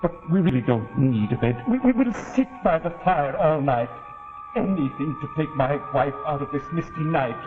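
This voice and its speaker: A man's voice: fairly high pitch, expressive, moderate pace, lightly acted. A man playing a desperate stranded husband, urgently pleading for shelter in a 1940s television suspense drama. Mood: insistent pleading. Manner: urgent, insistent pleading with stammered repeats. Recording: tinny 1949 television soundtrack with music.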